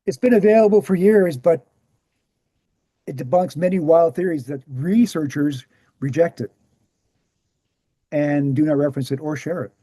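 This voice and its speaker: A man playing an earnest researcher in a screenplay table read, explaining things in a measured, assured way. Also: fairly high pitch, mellow, breathy edge, no echo. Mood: matter-of-fact. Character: Gary, earnest male presenter, calm debunking researcher.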